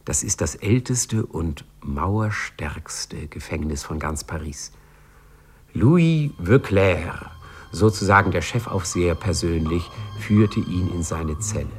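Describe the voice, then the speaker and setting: An actor as the narrator of a 1950s radio play, telling a satirical tale in a wry, measured manner. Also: male voice, low-pitched, lively intonation, breathy edge, soft background music, full character voice.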